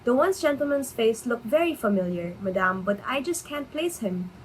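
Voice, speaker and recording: A woman's voice: fairly high pitch, expressive, normal speed, close to an everyday voice. Formal servant played by a woman, noisy recording. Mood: dutiful, deferential. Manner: polite, formal, dutiful. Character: deferential, formal household servant announcing to madame. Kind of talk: dramatic reading of a stage comedy.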